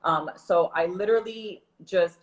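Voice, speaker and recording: A woman's voice: fairly low pitch, measured pace. A woman guest in a casual video-call interview, chatty and upbeat, from South Florida. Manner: chatty, upbeat, laughs easily. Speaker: guest, program representative.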